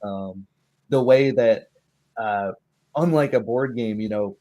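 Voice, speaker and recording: A man's voice: on the low side, takes it slowly, mellow. A man chatting casually on a tabletop gaming stream, somewhat hesitant, with self-deprecating humour. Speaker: tabletop roleplaying player on a live stream. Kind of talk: casual chat.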